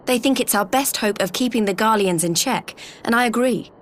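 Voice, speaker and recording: An actress playing an earnest, friendly young envoy in a video game, speaking politely and encouragingly. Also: female voice, on the high side, a little fast, a little hiss or hum, natural voice. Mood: hopeful, earnest. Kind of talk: video game cutscene voice acting.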